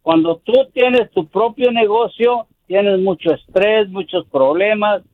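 An older man calling in to a radio show, talking in a hesitant, halting way with many fillers. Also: high-pitched. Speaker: caller. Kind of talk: phone-in radio call. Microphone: telephone. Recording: tinny dry phone line.

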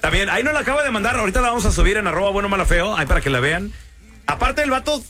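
A man hosting a lively radio show, teasing and playful. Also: high-pitched, very fast, soft background music.

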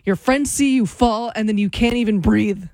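A woman in her thirties co-hosting a radio call-in show, chatty with quick reactions. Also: a little high, darkish tone, no room sound, studio quality. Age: 30s. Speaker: radio co-host. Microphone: studio microphone.

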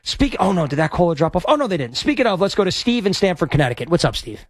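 A man hosting sports talk radio in a studio, with a high-pitched voice and an opinionated, cocky, braggadocious way of talking. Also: big pitch swings, fast talker, no room sound.

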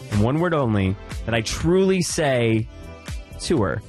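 A man's voice: medium pitch, big pitch swings, slow, a little mellow, soft background music. A man from outside Chicago hosting a radio show, chatting and teasing in a neutral trained American voice. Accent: none, trained neutral American. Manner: chatty, teasing, playfully insistent. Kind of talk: casual radio banter.

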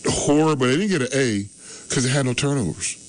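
A man's voice: medium pitch, brisk, rough recording. A man in his early sixties grading his team's game in casual sports talk, rambling and repeating himself. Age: 60s. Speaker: sports show regular.

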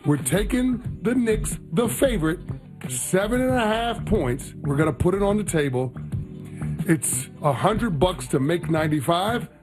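A man's voice: high-pitched, animated, a little slow, music in the background. A man co-hosting a casual sports talk show, emphatic as he gives a betting pick.

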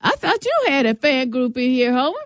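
Female American radio co-host, fairly high and very lively intonation, casual teasing banter, dry studio sound. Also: a little fast, no echo.

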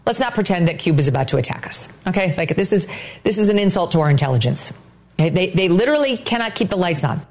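A female commentator speaks with sarcastic exasperation in a somewhat low voice. She punches her points with pointed asides as she gives her opinion. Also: animated, fast, darkish tone.

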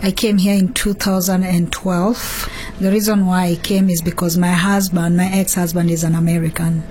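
A woman's voice: lowish voice, flat delivery, moderate pace, a little mellow. A woman with a Kenyan accent, telling her personal story as an interview guest. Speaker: interview guest, home care business owner.

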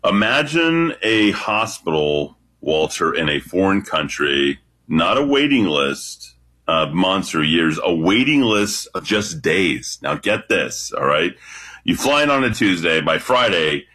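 A man with a deep voice calling into a radio show, talking confidently and animatedly. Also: sing-song, unhurried, pushed and bright. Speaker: radio caller. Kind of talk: casual radio talk.

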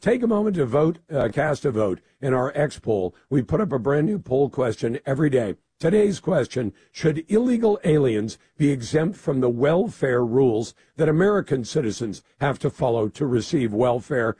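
A very husky, gravel-throated man hosts a live talk radio show from a studio. His tone is dark and mid-pitched, and he speaks emphatically, with firm opinions. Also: very animated, normal speed, few pauses, dry recording. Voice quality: gravelly, raspy.

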